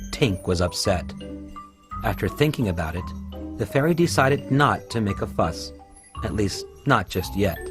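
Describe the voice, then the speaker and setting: A man narrating a scripted children's story as a storyteller, in a measured, clearly enunciated way. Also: lowish voice. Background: music.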